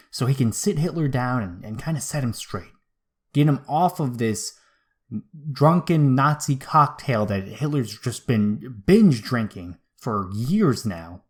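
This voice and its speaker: Male history show host, medium pitch, informal and conversational storytelling with slangy asides. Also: a little mellow.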